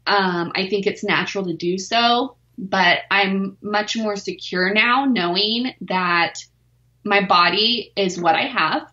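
A woman's voice: medium pitch, takes it slowly, pushed and bright, roomy sound, dull audio. A forty-year-old woman, a weightlifter, talking openly and self-deprecatingly as a podcast guest, laughing easily. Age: 40s. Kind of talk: candid podcast interview.

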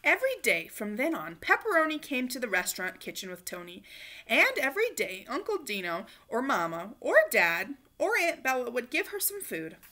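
A woman reads a children's storybook aloud. Her voice is high and bright, with big expressive swings. She leans on key words and plays up the drama. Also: normal speed. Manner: animated, emphatic, acts out dialogue. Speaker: storybook reader. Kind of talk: expressive read-aloud of a children's picture book. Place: small living room, indoors on a couch.

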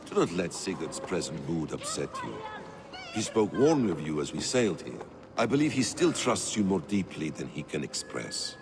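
Deep, melancholy, courteous warrior. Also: male voice, big pitch swings. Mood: calm, reassuring. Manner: measured, formal. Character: calm, melancholy, courteous male traveller-warrior. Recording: long hall reverb, music behind.

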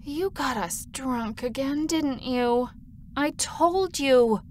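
A woman's voice: high voice, takes it slowly, a little mellow. A woman voicing an irritable alien, one head of a two-headed worker, scolding in an audio drama. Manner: scolding, exasperated.

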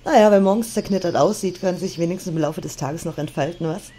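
A woman's voice: lowish voice, fast, dark tone, slight background noise, lightly acted. A woman acting a bossy, teasing and curious Eve in a comedic audio drama. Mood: mocking. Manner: sassy, teasing.

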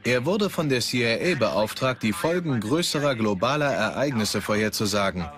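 An actor as brisk documentary team leader, lively intonation. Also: male voice, mid-range voice, close to an everyday voice. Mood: brisk, businesslike. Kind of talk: German documentary voice-over. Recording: music under the voice.

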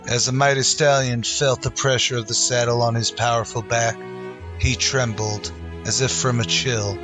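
A man's voice: mid-range voice, fairly even intonation, normal speed, music in the background. A man narrating a western adventure in dramatic, scripted old-time radio style.

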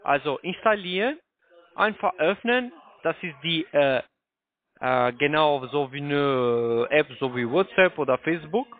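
Guy with foreign accent doing a polite halting sales pitch, highish voice, tinny phone line. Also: expressive. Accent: foreign, non-native German. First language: not German. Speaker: telephone sales caller.

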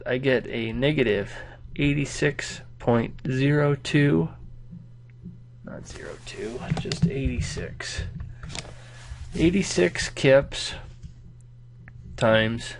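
A man's voice: mid-range voice, slow pace, vocal fry, pauses often. A man with a nasal, stuffed-up voice from a cold, walking through an engineering problem in a casual tutoring style. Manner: casual, thinking aloud while working problems. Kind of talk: whiteboard lesson walkthrough.

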